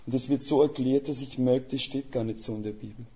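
An older man preaching as a guest speaker in a folksy, plain-spoken way, with a Swiss German dialect and a gravelly voice. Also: mid-range voice, fairly even intonation, a little fast, dark tone. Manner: folksy, plain-spoken, with homely images and rhetorical questions. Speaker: missionary. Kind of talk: sermon. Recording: slightly dull lo-fi sound.

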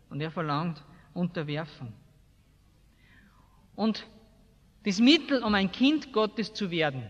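A man's voice: sits high, a bit bright. A man with an Austrian accent preaching a colloquial sermon in a blunt, emphatic way, asking rhetorical questions. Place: large hall, preaching to a seated congregation. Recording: echoey hall with long reverb.

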